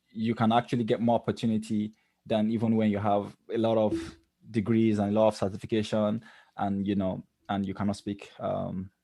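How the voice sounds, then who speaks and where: A man's voice: lowish voice, vocal fry, dark tone, dry recording. A man with a Nigerian accent answering interview questions as a podcast guest, explaining things conversationally with many "you knows". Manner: explanatory, frequent "you know".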